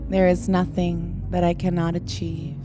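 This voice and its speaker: A woman leading a guided prayer meditation in a slow, soothing, calm manner. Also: on the low side. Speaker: meditation leader. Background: new-age music.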